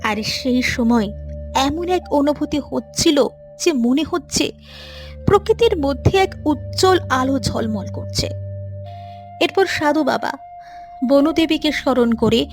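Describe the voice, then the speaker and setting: A woman's voice: high voice, expressive, slow pace, pauses often, faint music behind. A woman narrator reading a scripted storybook-style moral tale for an animation.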